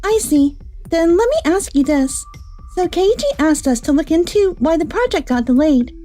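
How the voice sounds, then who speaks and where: A woman's voice: high-pitched, expressive, moderate pace, warm tone. A woman playing a composed, firm office investigator in a manga dub, formal and matter-of-fact as she accuses. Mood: firm, confronting. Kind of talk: anime-style manga dub dialogue. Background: background music.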